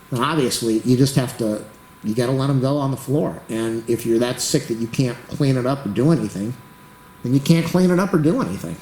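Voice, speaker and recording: A man hosting a casual computer tutorial, rambling as he waits, losing his voice and clearing his throat. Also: medium pitch, darkish tone, reverberant, a little hiss or hum, rough recording. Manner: rambling, talks to the camera while waiting. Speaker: YouTube tech tutorial host. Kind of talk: rambling casual screen-recording commentary.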